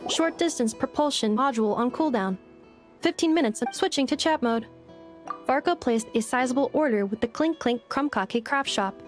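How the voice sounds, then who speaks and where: A fairly high-pitched robot voiced by a woman from a video game. It is small and literal-minded, reporting in a formal, mechanical way while gentle music plays. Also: moderate pace, natural voice. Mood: matter-of-fact. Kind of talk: video game character dialogue.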